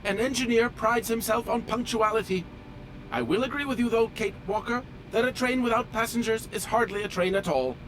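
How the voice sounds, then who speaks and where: A man's voice: very high voice, brisk, fairly bright tone, a little hiss or hum. A male actor voicing a fussy, polite automaton train engineer in a video game, with formal, precise, pedantic diction. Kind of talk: video game character dialogue.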